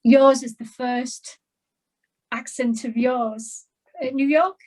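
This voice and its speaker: Self-deprecating woman author, higher voice. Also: fairly even intonation, slow pace, darkish tone, poor audio. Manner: self-deprecating, hesitant restarts, light laughs. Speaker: indie author, guest. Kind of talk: casual conversational interview.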